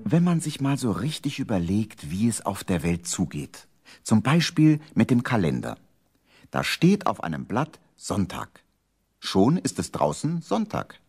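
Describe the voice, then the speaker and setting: A man with a husky voice narrating a scripted children's story for a radio play. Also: fairly low pitch, huge pitch swings, a little slow, darkish tone, faint music behind.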